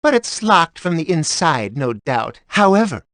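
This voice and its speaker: Snooty theatrical noble lady game character, pompous n miffed, super animated voice, dry sound. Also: low voice, measured pace, no room sound, heavily acted. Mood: haughty, scornful. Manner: pompous, flowery wording. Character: haughty indignant aristocrat woman, theatrical and peevish. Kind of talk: theatrical video game voice acting.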